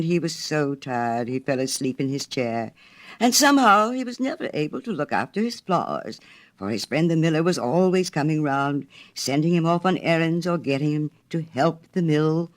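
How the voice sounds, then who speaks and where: A woman's voice: sits low, sing-song, moderate pace. A woman reading a literary story aloud in a theatrical manner, with dramatic phrasing. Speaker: storyteller, reader. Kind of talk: expressive literary storybook narration.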